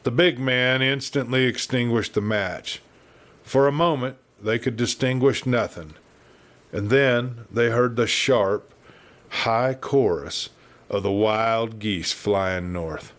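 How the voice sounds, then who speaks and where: Male audiobook narrator, American accent, medium pitch, measured and dramatic Western storytelling. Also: fairly bright tone.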